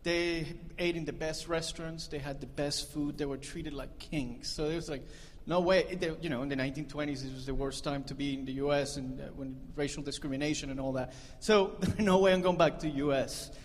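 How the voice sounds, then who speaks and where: Joking bandleader in a reverberant hall. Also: male voice, fairly high pitch, steady tone, brisk, few pauses. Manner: joking, chatty, laughs at own jokes. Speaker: band leader. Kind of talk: onstage banter between songs. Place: concert venue, on stage. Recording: live concert hall reverb.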